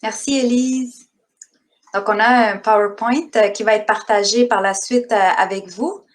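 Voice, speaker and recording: A woman's voice: medium pitch, steady tone, a little slow. A woman from Sri Lanka, a community organizer, introducing herself on a video call panel with many hesitations.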